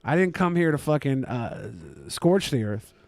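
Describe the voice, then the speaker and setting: A man's voice: fairly high pitch, lively intonation, measured pace, no echo. A man co-hosting a casual podcast, self-assured and argumentative, often stammering and repeating his phrases. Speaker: podcast co-host. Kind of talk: casual banter.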